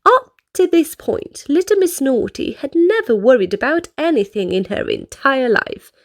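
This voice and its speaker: A high-voiced woman reads a children's story aloud. Her delivery is animated, swinging widely in pitch, and she speaks directly to young listeners. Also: normal speed. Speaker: children's book reader.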